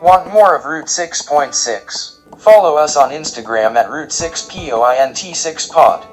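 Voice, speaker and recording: Guy reading podcast promo credits, scripted, slightly high voice, soft music under him. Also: lively intonation, a little slow, rough recording.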